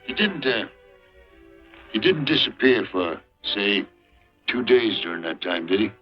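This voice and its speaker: An actor playing a shrewd, weathered old American marshal in a 1940s western film, with a folksy, deliberate, drily menacing way of talking. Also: male voice, lowish voice, lively intonation, faint music behind. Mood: dry, probing. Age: older. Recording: dull muffled old film soundtrack.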